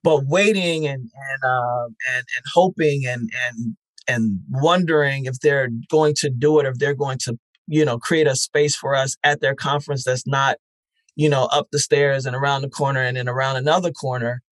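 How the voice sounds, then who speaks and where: A man's voice: mid-range voice, fairly even intonation, normal speed, a bit bright, no echo. A man being interviewed as the founder of a festival, talking frankly and reflectively with easy laughter. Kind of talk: casual interview chat.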